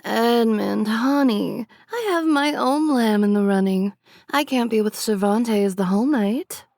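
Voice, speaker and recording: A woman playing a shameless, seductive vampire socialite, sly and amused, in a dark comedy audio drama. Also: on the high side, unhurried. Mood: coaxing.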